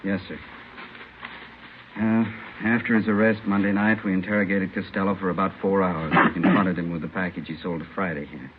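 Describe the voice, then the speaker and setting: An actor playing a plain-spoken, grave police narcotics captain, brisk and businesslike, in a 1950s radio drama. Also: male voice, deep voice, steady tone, close to an everyday voice. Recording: muffled old radio recording, faint noise.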